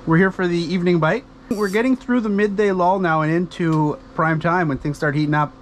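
A man's voice: sits high, brisk, a little hiss or hum. A man, chatting and joking while ice fishing in a shack and narrating to the camera. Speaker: host.

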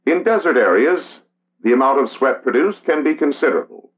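An American man giving measured, solemn, scripted narration in the style of a 1960s training documentary. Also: mid-range voice, big pitch swings. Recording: dull vintage film soundtrack. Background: music.

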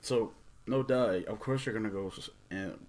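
Male review host, middle-aged, slightly deep voice, informal delivery with halting, stumbling phrasing. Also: a little mellow. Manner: halting, stumbles over words. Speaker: host of a movie review show. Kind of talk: casual off-the-cuff review commentary.

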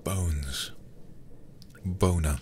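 A man livestreaming a horror game in ASMR style, muttering to himself in a hushed, breathy voice with casual swearing. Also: sits low, extremely slow, a little hiss or hum. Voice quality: breathy, often whispered.

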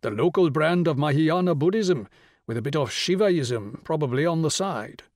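A man's voice: on the high side, lively intonation, normal speed, darkish tone, no echo, pitched above the performer's own voice. Narrator as a male intellectual, patient, confident, articulate, audiobook dialogue, dry close sound. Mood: explanatory.

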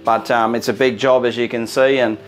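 A man's voice: medium pitch, level tone, fairly quick, faint music behind. A man, giving an informal walk-through tour of a caravan factory as company head. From Australia. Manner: upbeat, informal, gestures at fittings while walking. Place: large indoor caravan factory and van interior.

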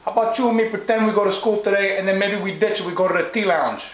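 A man's voice: high voice, rapid-fire, reverberant, lightly acted, poor audio. A man voicing a swaggering small-time wiseguy father who rambles about schemes in a tough-guy drawl and keeps nudging for agreement. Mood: scheming.

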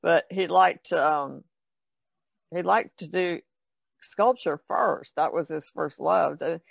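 A man's voice: a little high, slow, creaky, dry recording. A man speaking as a panelist in a casual podcast discussion.

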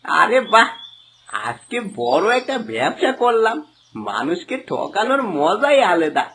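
A man playing a sly, greedy, boastful village swindler in a cartoon moral story, with an animated, coaxing manner. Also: sits high, unhurried, gravelly, slight background noise, full character voice. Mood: gloating. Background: background music.

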